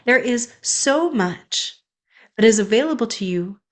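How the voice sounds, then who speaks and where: A woman's voice: fairly high pitch, animated, measured pace. A young woman, a spiritual coach, speaking in an earnest, flowing, affirming way in an inspirational monologue.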